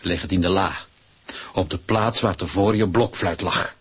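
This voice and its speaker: An actor playing a wry, resigned ordinary citizen in a radio play, with a dry, sardonic delivery. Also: male voice, low voice, steady tone, normal speed, fairly bright tone, husky, full character voice. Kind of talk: Dutch-language radio drama acting.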